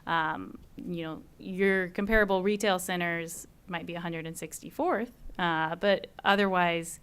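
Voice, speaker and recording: Female appraiser on a panel, lowish smooth voice, direct and explanatory. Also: unhurried, a bit bright. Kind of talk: panel Q&A answers.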